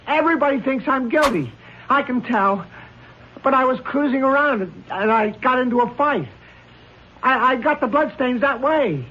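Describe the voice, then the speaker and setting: An actor playing a desperate, pleading young defendant in a radio drama, halting and stammering with emotion. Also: male voice, very high voice, expressive. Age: young adult. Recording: dull, slightly noisy old radio broadcast.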